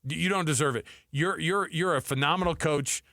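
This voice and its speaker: A man's voice: on the high side, brisk, sharp tone, no room sound, clean studio sound. A man co-hosting a sports radio show, talking casually and animatedly, acting out what others might say.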